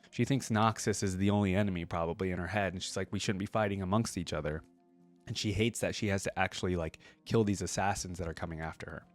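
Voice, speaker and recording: A man hosting a reaction video, reading a story aloud and commenting on it in a casual, slangy way. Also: fairly low pitch. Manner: casual, slangy, thinking aloud between passages.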